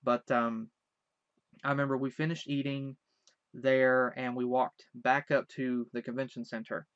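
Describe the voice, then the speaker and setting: Man, light Southern accent, mid pitch, rambling and hesitant recollection, dry dull lo-fi recording. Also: little pitch movement, measured pace, fairly bright tone, clear, resonant tone, dry recording. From Virginia. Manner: rambling, hesitant, many restarts. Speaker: vlogger. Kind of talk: casual recollection.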